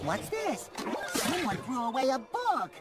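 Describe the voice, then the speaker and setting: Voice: sits high, big pitch swings, unhurried. An actor voicing a cheerful, childlike young male sea sponge in a cartoon, eager and exclamatory. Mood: earnest, puzzled. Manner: eager, exclamatory, wide-eyed. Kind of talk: animated cartoon voice acting. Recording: loud music behind voice.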